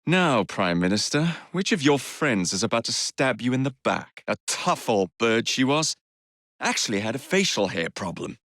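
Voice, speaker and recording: An actor playing a cocky, roguish young Victorian gang leader in a video game, with an English accent and a cheeky, theatrical, teasing way of speaking. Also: male voice, mid-range voice, sing-song, moderate pace, a bit bright, dry recording, studio quality. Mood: teasing, assertive. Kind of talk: dramatic video game dialogue lines.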